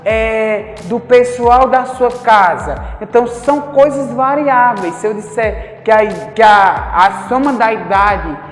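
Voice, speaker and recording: A man's voice: sits high, slow pace, few pauses. A man teaching a recorded math lesson, talking casually and putting direct questions to his students. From Brazil. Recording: echoey hall with faint music.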